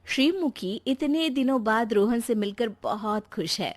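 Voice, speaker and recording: A woman's voice: on the high side, expressive, measured pace. A woman narrating a scripted moral family story as a storyteller.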